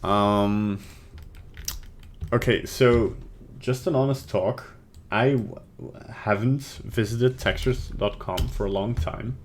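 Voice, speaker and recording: A man's voice: on the low side, slow, slight background noise. A young man from Belgium with a Belgian accent, streaming a casual 3D tutorial at his home desk, thinking aloud and rambling as he works. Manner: rambling, thinks aloud, mutters to himself while working. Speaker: livestreaming 3D artist. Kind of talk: casual live tutorial with chat. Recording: faint keyboard typing and clicks.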